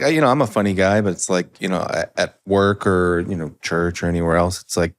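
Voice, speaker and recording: A man speaking as a podcast guest, shy and hesitant, searching for words with many fillers. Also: deep voice. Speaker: guest, surgical first assistant. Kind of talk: casual podcast chat.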